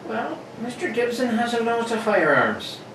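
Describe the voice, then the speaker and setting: A man's voice: very high voice, big pitch swings, normal speed. A man playing a deferential local police sergeant, talking colloquially in a radio play. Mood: confiding. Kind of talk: amateur radio-play acting. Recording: roomy, distant, noisy live recording.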